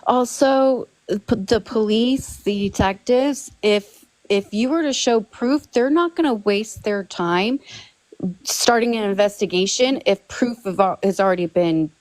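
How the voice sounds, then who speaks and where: A woman's voice: medium pitch, measured pace, a little mellow, no room sound, low-quality recording. A woman on a live-stream discussion, making firm, pointed arguments and giving instructions.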